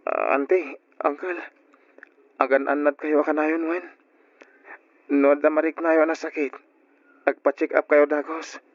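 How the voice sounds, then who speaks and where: A man's voice: on the high side, lively intonation, measured pace, pauses often, dry recording. A man acting an anxious, devoted young nephew in an Ilocano radio drama, with an Ilocano accent and emotive, exclamatory delivery. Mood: worried, pleading. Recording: dull lo-fi radio drama recording.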